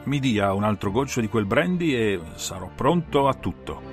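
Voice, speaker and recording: A man's voice: medium pitch, lively intonation, moderate pace, over loud music, close to an everyday voice. A man voicing a terrified, shaken baronet in a dramatized audiobook, in agitated exclamations and questions. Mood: shaken, weak. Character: terrified, shaken young baronet rescued from a beast.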